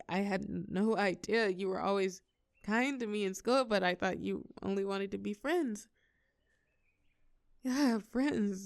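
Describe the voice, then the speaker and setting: A woman's voice: medium pitch, gravelly, a little mellow, no room sound, clean studio sound. A woman playing a shy, sweet, geeky young woman in an intimate romantic audio roleplay, speaking hesitantly and earnestly.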